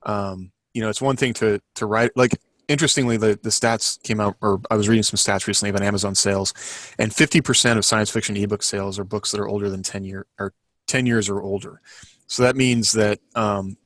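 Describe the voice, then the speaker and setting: A man on a podcast panel in casual discussion, working out his ideas in a halting, circling way. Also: on the low side, fairly even intonation, a bit bright, no room sound. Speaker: podcast panelist on a book series team.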